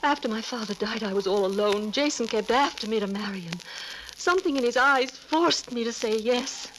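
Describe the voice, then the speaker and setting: An anxious young wife in a 1940s radio melodrama. Her voice is high and fearful, pleading in theatrical style, on a faintly crackly vintage recording. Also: animated, moderate pace, a bit bright. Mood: anxious, confiding.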